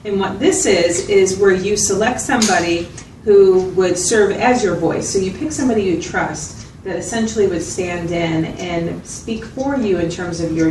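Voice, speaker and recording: Woman acting as a patient clinician lady in a training drama, measured explainer, echoey room w/ background noise. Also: fairly low pitch, lightly acted. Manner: measured, explanatory, checks for agreement. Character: patient, attentive female mental health clinician. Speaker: mental health clinician facilitator. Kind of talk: acted clinical interview, explanatory. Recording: roomy, distant mic, noticeable background noise.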